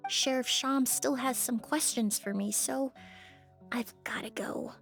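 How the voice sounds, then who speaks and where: A earnest young student in a video game. Her voice is high and composed, measured in its delivery, with faint music underneath. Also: steady tone, vocal fry. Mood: subdued. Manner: measured, quietly reassuring.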